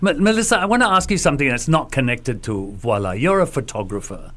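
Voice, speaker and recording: A man's voice: medium pitch, expressive, quick pace, fairly bright tone. Playful male radio co-host. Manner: teasing, jokey. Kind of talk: playful radio show banter. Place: radio studio.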